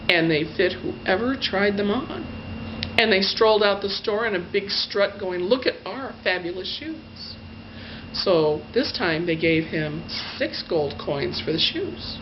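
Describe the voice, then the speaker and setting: A woman's voice: medium pitch, expressive. A woman storyteller telling a fairy tale in her own words, gushing and stretching out the descriptive words. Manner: gushing emphasis, drawn-out adjectives. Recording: dull lo-fi sound with faint noise.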